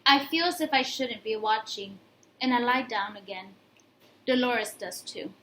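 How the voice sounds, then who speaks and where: A woman's voice: fairly high pitch, a little slow, bright, forceful tone, slight background noise. A woman reading a children's book aloud as narrator. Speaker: story reader. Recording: echoey room.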